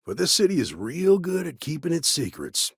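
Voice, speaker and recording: A man playing a world-weary, dutiful frontier ranger lawman in a sci-fi video game, guarded, stern and plain-spoken, with a husky, gravelly voice. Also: fairly high pitch, big pitch swings, moderate pace, dry recording, studio quality, exaggerated voice. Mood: cautioning, matter-of-fact. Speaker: video game character actor. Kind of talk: naturalistic video game dialogue acting.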